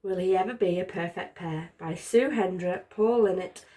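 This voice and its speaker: A woman reading a children's story aloud expressively, as a storyteller who uses dramatic pauses. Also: fairly low pitch, mellow. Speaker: storybook reader.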